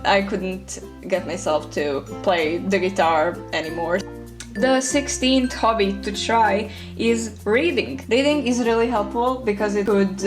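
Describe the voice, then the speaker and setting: A teenage girl chats through her ideas in a casual, friendly way, often pausing with "like" and "um". Her pitch sits at a middling level for a young woman. Music plays clearly underneath the voice-over. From Croatia. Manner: friendly, casual, scattered filler words. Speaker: vlogger. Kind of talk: chatty list-style video voice-over. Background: background music.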